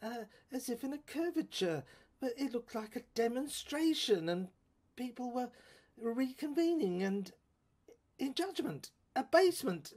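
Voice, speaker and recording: A woman narrating a first-person audio drama in a halting, musing way, repeating words and breaking into exclamations. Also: high voice, darkish tone, slightly rough.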